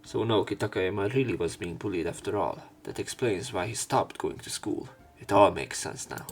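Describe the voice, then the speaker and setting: A man reading visual novel text aloud as a let's play narrator, breaking off into halting, wry casual asides. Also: low voice. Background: faint game music.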